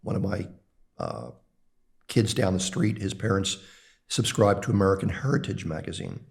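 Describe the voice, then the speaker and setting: A man's voice: sits low, big pitch swings, normal speed, gravelly. An older man from the Philadelphia area, a national security expert, reminiscing as an interview guest with frequent ums and uhs. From Philadelphia area, Pennsylvania. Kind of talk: relaxed conversational interview.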